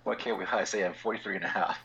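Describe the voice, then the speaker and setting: A man in his forties co-hosting a podcast, laid-back and quick to giggle. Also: deep voice, fairly even intonation, sharp tone, slightly rough. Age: 40s. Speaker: podcast co-host. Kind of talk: casual podcast chat.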